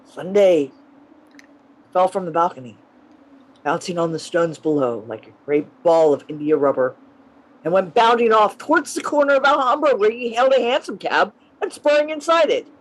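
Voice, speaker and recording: A woman's voice: lowish voice, animated, moderate pace, poor audio. A woman playing an earnest male poet-policeman who narrates his strange adventure in an amateur radio play reading. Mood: suspenseful narration. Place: remote reading over a video call.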